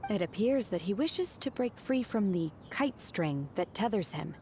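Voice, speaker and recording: An actress playing a cool, reserved, earnest female disciple in a video game, calm and detached in manner. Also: medium pitch, unhurried, slight background noise, close to an everyday voice. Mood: detached, thoughtful.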